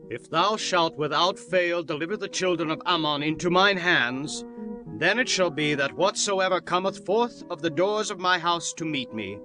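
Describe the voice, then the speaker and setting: A man's voice: on the high side, lightly acted, poor audio. A man playing a grave, fervent Israelite warrior and father in a dramatized scripture recording, speaking in solemn declamation. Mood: solemn vow. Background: music.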